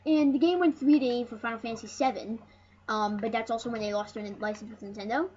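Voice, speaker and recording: A high-voiced speaker giving casual let's play commentary as host of a retro gaming series, rambling and often restarting phrases. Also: steady tone, fast talker, a little mellow. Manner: rambling, repeats and corrects phrases. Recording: muffled, noisy home recording.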